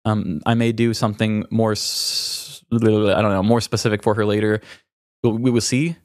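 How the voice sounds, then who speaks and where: Male podcast host, lower register, dry sound; informal, hesitant, openly emotional with grief. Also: flat delivery, moderate pace, dry recording. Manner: hesitant, self-revealing, informal. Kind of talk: candid, unscripted casual chat.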